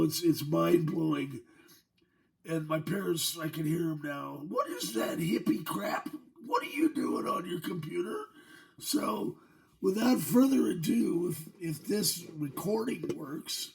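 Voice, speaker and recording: A middle-aged man talks slowly and loosely, his voice worn hoarse by a virus. It has a heavy gravelly fry, and he chuckles through his asides. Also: on the high side, poor audio. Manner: joking, chuckling between remarks. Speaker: channel host. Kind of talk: casual demo vlog.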